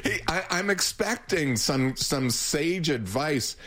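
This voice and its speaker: This is a seventy-year-old man, a therapist guest on a radio talk show, spinning an anecdote at an unhurried, leisurely pace. His voice rises and falls freely, and he keeps breaking into chuckles as he teases the host. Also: fairly high pitch, expressive, fairly bright tone. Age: 70s. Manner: chuckling storyteller, teasing. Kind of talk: casual radio chat.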